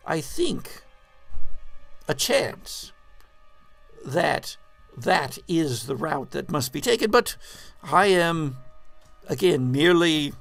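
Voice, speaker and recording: Man acting eccentric male séance medium, higher-pitched, slow, halting, ornate, humbly philosophical, tabletop horror roleplay. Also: gravelly, a bit bright, dry recording, full character voice. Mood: humble, hesitant. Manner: halting, ornate, theatrical phrasing.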